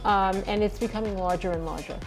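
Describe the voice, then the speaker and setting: A woman's voice: mid-range voice, steady tone, brisk, faint music behind. Earnest older woman in an interview. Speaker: author and guest. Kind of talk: conversational interview.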